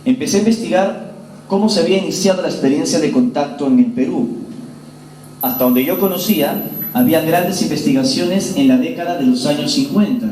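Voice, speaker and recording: A man in his forties from Peru giving a public lecture, telling his story to the audience in an earnest, measured way. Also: on the high side, dark tone, far from the mic, slight background noise. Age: 40s. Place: lecture hall. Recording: roomy hall with faint ambience.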